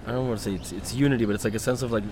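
A man from Venezuela hosting a casual outdoor conversation, pausing often and searching for the right words. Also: mid-range voice, steady tone. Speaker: host. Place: outdoors in a city. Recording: noisy outdoor street recording.

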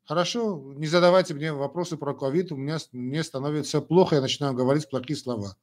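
Chatty older doctor, higher voice. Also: male voice, fairly even intonation, quick pace. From Armenia. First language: Armenian. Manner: blunt, talks in short emphatic phrases with many fillers. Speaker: doctor answering viewer questions. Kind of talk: live-stream Q&A, frank and chatty.

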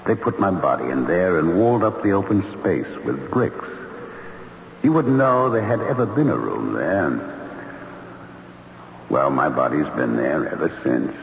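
Creaky, very deep, mournful ghost. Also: male voice, very animated, exaggerated voice. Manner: formal, hesitant, measured, mournful. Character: courteous, sorrowful ghost of a long-murdered man. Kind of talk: old-time radio drama acting. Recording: dull, echoing, hissy old radio recording.

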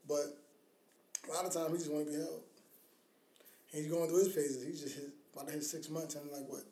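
A man in his thirties talks casually on a podcast in a slightly high, soft-edged, mellow voice. He is slangy, drops curse words and likes to tease. The recording has a clear room echo. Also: little pitch movement, brisk. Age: 30s. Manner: slangy, swearing, teasing banter. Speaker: podcast co-host. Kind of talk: casual chat about parenting. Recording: roomy, echoing sound.

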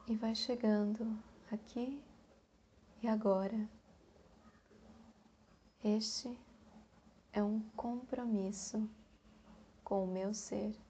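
A Brazilian woman guiding a meditation in a soft, breathy, calm voice, leaving long pauses between short phrases. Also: medium pitch, a little hiss or hum. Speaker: meditation guide. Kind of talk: guided meditation.

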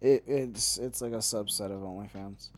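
A man co-hosting a casual nerd-news podcast, talking in a halting way and often stumbling over his words. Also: on the low side, fairly even intonation, no echo. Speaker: podcast co-host.

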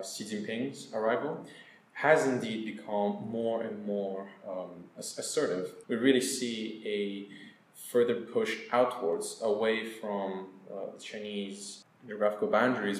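A young man from Italy, a graduate guest answering interview questions in a measured way, with frequent filler pauses. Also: fairly low pitch. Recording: echoey room, faint hiss.

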